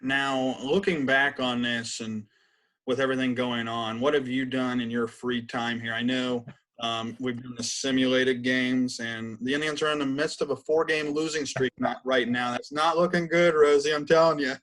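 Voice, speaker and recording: Mid-pitched man hosting a podcast. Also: steady tone, moderate pace, fairly bright tone, rough recording. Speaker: podcast co-host, interviewer. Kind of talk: conversational sports interview.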